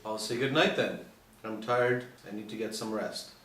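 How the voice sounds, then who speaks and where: A man's voice: lowish voice, moderate pace, a bit bright, natural voice. A man playing a cool, sly undercover pilot in a screenplay read, speaking in a clipped, insinuating, matter-of-fact way. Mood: sly, suggestive. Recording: echoey room, distant mic, faint noise.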